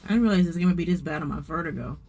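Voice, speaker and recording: A man's voice: on the high side, very fast, darkish tone, a little hiss or hum. A man commenting casually over gameplay as a streamer, in a chatty, rambling way. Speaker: gaming streamer.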